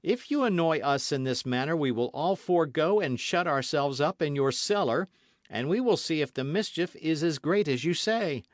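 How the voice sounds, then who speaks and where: A man voicing an earnest young male musketeer with a lively, raised voice. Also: a little high, moderate pace, no room sound, clean studio sound, voice pushed up, lightly acted. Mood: coaxing.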